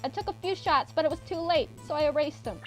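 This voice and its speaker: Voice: sits high, quick pace, soft background music, close to an everyday voice. An actor playing a stubborn, brash young boy witness in a video game fandub. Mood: excited. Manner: boyish, brash, indignant.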